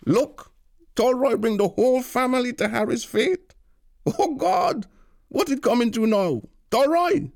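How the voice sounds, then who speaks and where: Man voicing a rowdy joker, high and gravelly, audiobook banter. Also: animated, unhurried, exaggerated voice. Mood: teasing. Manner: mocking, loud banter. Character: teasing sociable West Indian man, Moses.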